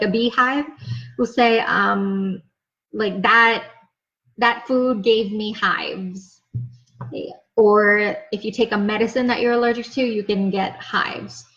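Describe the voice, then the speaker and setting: A woman's voice: medium pitch, fairly even intonation, slow pace, a bit bright. A young woman teaching an English lesson, explaining words patiently and checking in with "okay?" after each point. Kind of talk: slow, clear ESL lesson explanation. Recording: slightly dull, lo-fi sound.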